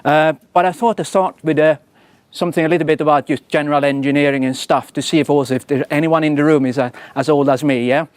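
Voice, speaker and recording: A man from Sweden with a clear Swedish accent talks through a casual conference presentation in a slightly high voice. He rambles in a friendly way, laces his points with fillers and "yeah?", and pokes fun at himself. The sound is dry and close. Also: steady tone, fast talker, no echo. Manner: chatty, self-deprecating, many fillers and tag questions. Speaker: engineering leader giving a talk.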